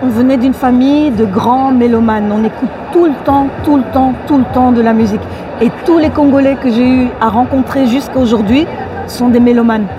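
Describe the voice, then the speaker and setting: A woman's voice: on the high side, fairly even intonation, a little fast, lo-fi sound. A woman from Belgium, a musician guest, telling her story in a hesitant, filler-filled casual way. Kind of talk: casual interview. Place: outdoors at an urban site. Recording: outdoor recording with crowd behind.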